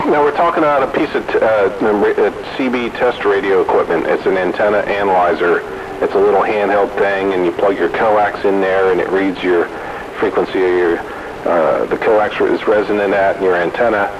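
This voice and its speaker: A man's voice: deep voice, fairly even intonation, normal speed. A man chatting casually as a hobbyist over CB radio. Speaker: CB radio hobbyist in a group chat. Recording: dull, noisy radio transmission.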